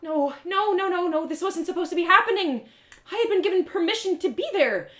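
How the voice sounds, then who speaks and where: A woman voicing an excitable, earnest young girl adventurer in a storybook, breathless and exclaiming. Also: extremely high pitch, a little fast, voice pushed up. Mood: panicked. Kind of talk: dramatic first-person storybook acting. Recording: roomy echo.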